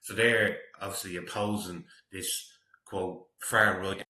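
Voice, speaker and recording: A middle-aged man with a low, forceful voice comments casually as a reaction host. He sounds disapproving and opinionated, and the recording is roomy and echoey. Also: steady tone, takes it slowly.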